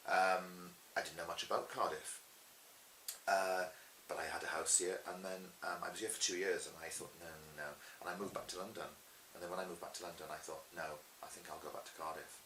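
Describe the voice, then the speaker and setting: A middle-aged man with a Welsh accent, chatting casually as an interviewed stage performer and telling stories in a lively, chatty way. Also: low-pitched, brisk. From Swansea, Wales. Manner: chatty, animated retelling with acted-out quotes. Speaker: pantomime dame and cabaret performer being interviewed. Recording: roomy echo with faint hiss.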